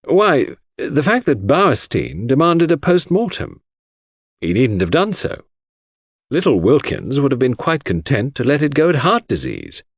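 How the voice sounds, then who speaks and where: A man voicing a puzzled, sceptical English country gentleman with a frank, hearty, questioning manner. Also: mid-range voice, wildly swooping pitch, measured pace, no room sound, clean studio sound, voice pushed up, heavily acted. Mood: doubtful.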